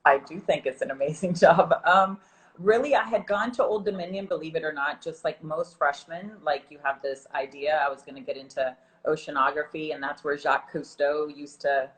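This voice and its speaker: A woman's voice: low-pitched, normal speed, poor audio. A woman guest in a casual video-call interview, chatty and upbeat, from South Florida. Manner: chatty, upbeat, laughs easily. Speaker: guest, program representative.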